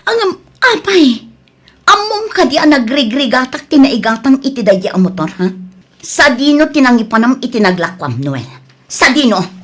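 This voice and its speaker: A woman's voice: high-pitched, sing-song, normal speed. A woman playing a long-suffering young wife and mother in a radio drama, speaking in an earnest, emotive way. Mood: indignant, hurt. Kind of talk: Ilocano radio drama acting.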